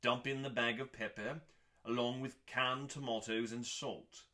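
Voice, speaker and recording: A man performs a theatrical reading in a mid-pitched, bright and forceful voice. His pacing is very slow, with weighty pauses and drawn-out emphasis. He sounds pompous and mock-serious, treating every phrase as high drama. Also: steady tone. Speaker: host reading aloud from a cookbook.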